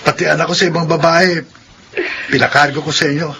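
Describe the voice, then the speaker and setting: A humble, remorseful Filipino son in a radio drama. His voice is fairly high and very bright, with noise behind it. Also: flat delivery, quick pace.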